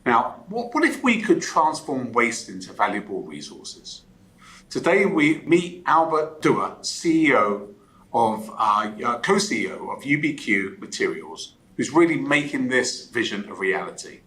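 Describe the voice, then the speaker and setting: A man's voice: mid-range voice, big pitch swings, a little slow, pushed and bright, rough recording. A man hosting an interview show, introducing his guest and asking questions, with hesitant restarts. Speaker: podcast host.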